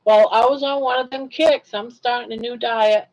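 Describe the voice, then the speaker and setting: A woman's voice: a little high, fairly even intonation, normal speed, a little mellow, highs cut off. An older woman hosting a casual livestream, telling stories in a chatty way, laughing often and reacting to chat comments. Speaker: livestream host.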